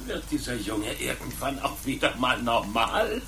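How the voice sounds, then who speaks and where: An exasperated medieval papermaker in a radio play. He grumbles in disbelief with a sharp, highly varied delivery and faint noise behind him. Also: very animated, measured pace, full character voice. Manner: grumbling, incredulous. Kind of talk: German radio play acting.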